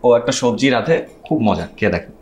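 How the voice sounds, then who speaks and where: A man acting as an awkward young husband, animated. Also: fairly low pitch, slight background noise, natural voice. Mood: amiable, casual. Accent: Bangladeshi. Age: young adult. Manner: hesitant, halting. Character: awkward, evasive newly married young office man. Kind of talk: natural TV drama acting.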